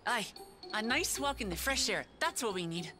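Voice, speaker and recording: A woman's voice: fairly high pitch, lively intonation, normal speed, music in the background, close to an everyday voice. An actress playing a young, idealistic lion-woman warrior princess in a video game, speaking earnestly and from the heart. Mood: fond, nostalgic. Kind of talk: voiced game cutscene dialogue.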